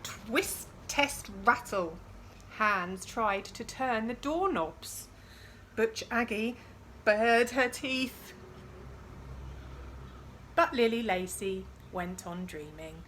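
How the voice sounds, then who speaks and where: Bright-voiced woman reading slowly to children. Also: on the high side, animated, slight background noise. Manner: addresses young listeners with questions and dramatised sound words. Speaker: storyteller reading a picture book aloud. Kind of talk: expressive children's read-aloud with chatty asides.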